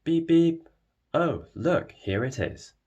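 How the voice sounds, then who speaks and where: A man's voice: fairly high pitch, big pitch swings, slow, warm tone, muffled recording, pitched above the performer's own voice, heavily acted. Storybook mummy pig, kindly and motherly, very melodic delivery, played by a male reader. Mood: cheerful. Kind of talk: children's storybook read-aloud.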